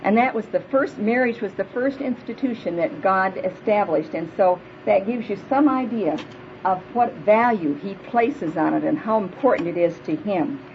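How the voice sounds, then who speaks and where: A woman's voice: mid-range voice, expressive, moderate pace, a little hiss or hum. Woman in her fifties for a plainspoken sermon to a women's group, big voice, dull live recording. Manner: earnest, prayerful, plainspoken. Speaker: speaker at a women's church retreat. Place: hall before a live audience.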